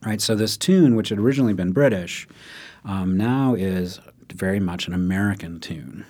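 A man explaining music history in relaxed conversation, often checking in with "right?" and acting out quoted speech. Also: low-pitched, normal speed. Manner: animated, acts out quotes in raised voice, frequent "right?".

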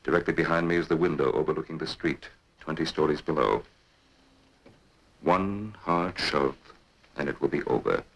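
An actor playing a cold, scheming male employer in an old radio drama, speaking slowly and persuasively. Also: a bass voice, creaky, fairly bright tone, exaggerated voice, rough recording. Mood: cold, menacing. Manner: slow, measured, coldly persuasive. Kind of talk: 1950s radio drama acting.

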